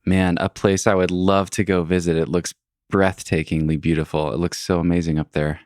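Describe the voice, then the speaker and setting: Deep-voiced friendly podcast host. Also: male voice, level tone, normal speed, darkish tone, no room sound, studio quality. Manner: friendly, chatty, rambling asides. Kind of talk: casual interview chat.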